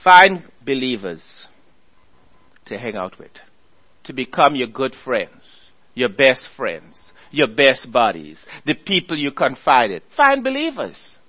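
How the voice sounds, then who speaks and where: A man preaching a sermon, earnestly exhorting his listeners and reading scripture aloud slowly. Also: mid-range voice, huge pitch swings, lo-fi sound.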